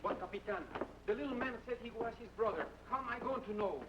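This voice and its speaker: An actor playing a cowed Mexican henchman, making whining, halting excuses in broken, accented English for a radio drama. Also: very high-pitched. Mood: nervous, pleading. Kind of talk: old-time radio drama acting. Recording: muffled, noisy old radio recording.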